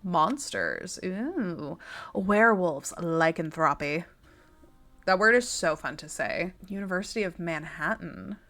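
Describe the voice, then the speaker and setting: A young woman with a slightly raspy voice, reading game text aloud and joking about it as she plays. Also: on the low side, lively intonation, takes it slowly, a bit bright, soft background music. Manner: chatty and wry, laughs often, reads text then jokes about it. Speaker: gaming channel host.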